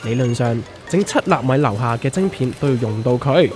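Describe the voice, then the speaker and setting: A man's voice: medium pitch, expressive, normal speed, natural voice. A man with a Hong Kong Cantonese accent playing a wry, well-informed, teasing friend in a comedic radio drama. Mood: wry explaining. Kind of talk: scripted comedic dialogue. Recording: music under the voice.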